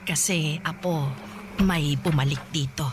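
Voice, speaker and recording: A woman playing a weary, gentle old grandmother who speaks hesitantly and softly in a radio drama. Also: low-pitched, a little slow, slight background noise. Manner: hesitant, soft-spoken, pleading.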